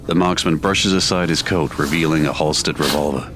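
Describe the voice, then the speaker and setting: A man's voice: very deep voice, brisk, noisy background. A man reading scripted, dramatic story narration for an audio drama. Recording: music playing behind the narration.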